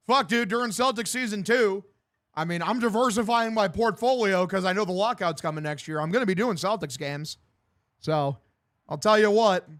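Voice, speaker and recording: A man's voice: high-pitched, fairly bright tone. A man in his twenties livestreaming casual baseball play-by-play and chat, talking in a slangy, profane, bro-like way. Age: 20s. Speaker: sports streamer calling a baseball game and answering chat.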